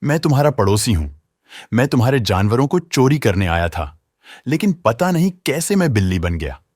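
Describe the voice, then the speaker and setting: A woman's voice: rumbling low, very animated, moderate pace, a little mellow, husky, no room sound, clean studio sound, voice pushed down, full character voice. A woman voicing a scheming thieving male neighbour in a children's story. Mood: confessing. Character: scheming male neighbour, a thief turned into a cat.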